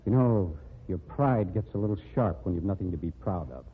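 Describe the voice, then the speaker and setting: A man's voice: deep voice, expressive, a little fast, slightly rough. An actor playing a bitter, disgraced man down on his luck, in 1940s radio acting with a wry, self-mocking manner. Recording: tinny old radio broadcast.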